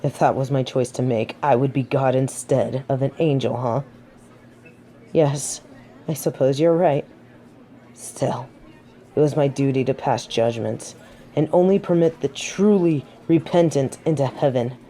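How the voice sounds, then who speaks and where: A woman's voice: very deep voice, measured pace, mellow. A woman playing a proud, haughty fallen angel of judgement in a scripted audio roleplay, dramatic and defensive. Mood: bitter, defensive.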